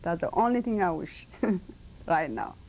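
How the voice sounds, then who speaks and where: A woman's voice: on the low side, lively intonation, a little mellow, low-quality recording. Ethiopian-accented woman, earnest and emphatic. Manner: earnest, emphatic, with halting non-native phrasing. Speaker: model and actress. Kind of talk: TV interview. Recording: 1990 TV broadcast.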